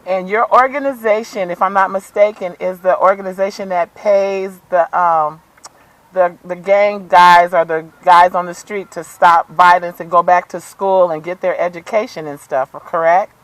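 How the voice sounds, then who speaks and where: A woman's voice: fairly low pitch, steady tone, normal speed, no echo, poor audio. American woman conducting a casual interview. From San Francisco Bay Area, USA. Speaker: student interviewer. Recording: telephone interview recording.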